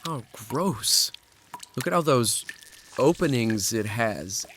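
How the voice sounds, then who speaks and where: A man's voice: medium pitch, lively intonation, slow, a bit bright, a little hiss or hum. A man playing an anxious teenage boy lost in a nightmare world, in a horror audio drama. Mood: alarmed. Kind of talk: horror audio drama acting and first-person narration.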